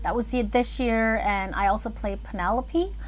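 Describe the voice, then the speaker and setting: A woman's voice: a little high, fairly even intonation, moderate pace, faint music behind, poor audio. A woman chatting casually and thinking aloud as she hosts an animation livestream. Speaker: animator and filmmaker streaming live.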